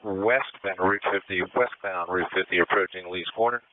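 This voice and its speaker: A man's voice: low voice, moderate pace, phone-quality sound. A man on a police helicopter crew, calling out positions over the radio and repeating each location twice. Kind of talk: radio traffic callouts.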